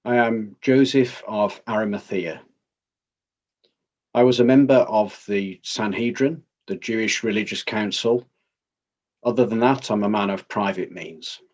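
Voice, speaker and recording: A man playing a grave, dignified councillor giving measured, formal testimony in a dramatized inquest, in slightly dull sound. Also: lowish voice, a little mellow. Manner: measured, formal, plain-spoken.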